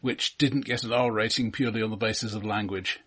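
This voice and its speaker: A man co-hosting a casual film discussion, speaking haltingly and often stammering over repeated words. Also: lowish voice, fairly even intonation, a bit bright. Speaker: podcast co-host.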